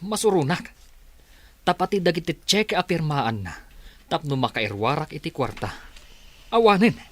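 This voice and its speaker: A man's voice: a little high, sing-song, moderate pace, a little hiss or hum. A man playing a greedy, conniving businessman in an audio drama, with a menacing and impatient way of talking. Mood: menacing, frustrated. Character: greedy, conniving businessman plotting to seize control. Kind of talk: Ilocano audio drama acting.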